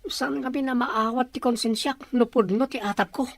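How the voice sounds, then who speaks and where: A woman's voice: fairly high pitch, normal speed, no room sound. A woman with an Ilocano accent acting a suspicious, plotting character in a radio drama.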